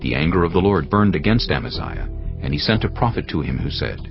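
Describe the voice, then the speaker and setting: A man narrating a dramatised scripture reading in a measured, solemn way. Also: low-pitched, expressive. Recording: slightly muffled with soft music.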